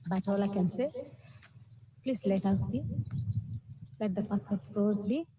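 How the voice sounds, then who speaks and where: A woman's voice: mid-range voice, measured pace, background noise. A woman with an African accent speaking earnestly, admonishing and pleading straight to her listeners. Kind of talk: direct address opinion monologue to camera.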